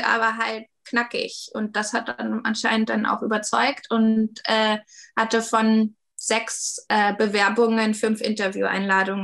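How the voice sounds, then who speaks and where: A woman's voice: on the high side, fairly bright tone. A woman in her thirties, a 3D artist talking casually in an interview, with lots of fillers and hedges. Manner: chatty, many fillers and hedges.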